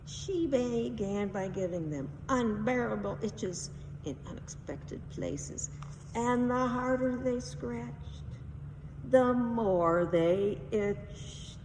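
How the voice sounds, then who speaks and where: A woman narrates a children's story dramatically, with very lively pitch and an unrushed pace. The voice sounds distant in an echoey hall, over faint noise. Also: takes it slowly. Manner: dramatic, emphatic. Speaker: read-aloud storyteller.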